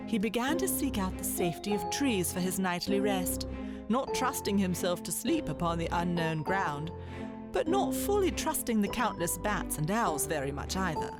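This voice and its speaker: Woman narrating a storybook over loud music. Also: medium pitch, very animated, normal speed. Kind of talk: scripted storybook narration for audio drama.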